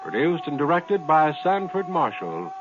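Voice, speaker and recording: A man's voice: on the high side, sing-song, measured pace. A man announcing closing credits in formal 1950s radio style. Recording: muffled vintage 1950s radio recording. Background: music.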